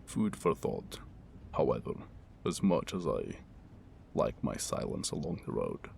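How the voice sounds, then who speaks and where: A man playing a wounded mercenary warrior in an ASMR roleplay, guarded and wry but secretly tender, murmuring slowly with halting, formal, old-fashioned phrasing. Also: sing-song, darkish tone, exaggerated voice. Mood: wry, coaxing. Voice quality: deep, gravelly, breathy murmur. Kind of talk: ASMR roleplay acting, intimate second-person monologue. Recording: rain and thunder ambience noise. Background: rain and thunder.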